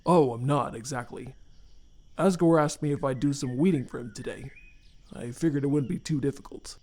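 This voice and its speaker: A man playing a remorseful, haunted skeleton scientist and father, speaking formally and haltingly with earnest care in a fan comic dub. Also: mid-range voice, a little mellow, lightly acted. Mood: subdued, guilty.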